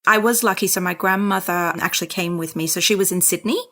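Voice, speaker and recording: A young woman from Sri Lanka, a doctor telling her story in a casual interview, lively and self-mocking. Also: fairly low pitch, quick pace. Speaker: doctor, guest.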